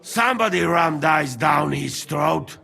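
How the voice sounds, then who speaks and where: An actor playing a loud, exuberant foreign mercenary with an Eastern European accent and broken English in a video game. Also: male voice, fairly high pitch, expressive, unhurried, creaky, slightly rough, soft background music, full character voice. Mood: rueful, hearty. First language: not English. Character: boisterous foreign mercenary, hearty drinking companion in broken English. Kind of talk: video game cutscene acting.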